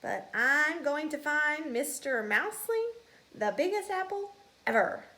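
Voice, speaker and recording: A woman's voice: sits high, expressive, slow pace, pushed and bright, pitched above the performer's own voice, full character voice. A woman voicing a determined young male house mouse in a children's storybook, boastful and emphatic. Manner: emphatic, competitive.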